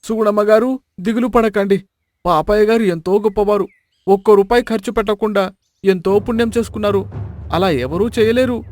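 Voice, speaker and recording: A man's voice: sits high, normal speed, darkish tone, lightly acted. A man voicing a sly, mock-solemn villager in a dramatised storybook tale. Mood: mock praise.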